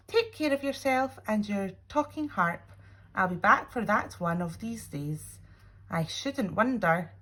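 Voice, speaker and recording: A cheeky, boastful storybook boy, read out by a woman, chatting in colloquial style. The voice is lively in pitch, with a husky edge. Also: full character voice. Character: cheeky little boy writing to a giant, boastful.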